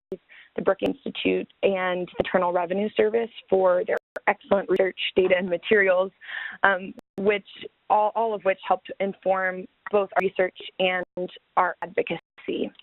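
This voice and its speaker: A woman's voice: mid-range voice, little pitch movement, normal speed, no room sound. A woman giving a prepared, formal webinar presentation over a thin phone-like line.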